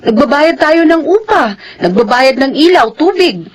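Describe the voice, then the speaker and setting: A woman's voice: high voice, lively intonation, moderate pace. An actress playing a worried laundrywoman and mother in a radio drama, pleading and exasperated about money. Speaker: wife.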